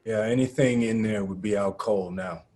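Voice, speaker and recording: A man's voice: on the low side, moderate pace, dark tone, close to an everyday voice. A man acting in a table read as a cautious animal rights activist planning a rescue. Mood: businesslike. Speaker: actor in a screenplay table read.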